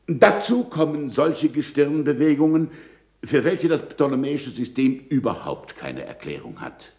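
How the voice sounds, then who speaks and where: An actor playing an earnest astronomer in a 1960s German radio play, speaking in a formal, deliberate and emphatic way. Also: male voice, fairly high pitch, very animated, a little mellow, echoey room. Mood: earnest, explaining.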